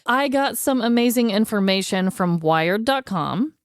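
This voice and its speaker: A woman's voice: mid-range voice, lively intonation, measured pace, heavy background noise. An American woman co-hosting a podcast, telling a story in a chatty, informal, conversational way. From United States. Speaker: podcast co-host.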